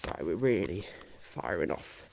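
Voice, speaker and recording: A man playing a scheming, eccentric businessman in an ASMR roleplay, musing haltingly and repeating his phrases. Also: low voice, very animated, vocal fry, darkish tone, slight background noise, full character voice. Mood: intrigued.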